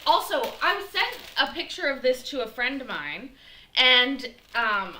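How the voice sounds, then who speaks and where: A middle-aged woman with a bright, fairly high voice chats away as she cooks, rambling between fillers and greeting viewers by name. Her home kitchen gives the sound a roomy echo with a faint hiss underneath. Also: sing-song, a little slow. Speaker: livestream cooking show host. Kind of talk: casual livestream chat while cooking. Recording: echoey kitchen, faint noise.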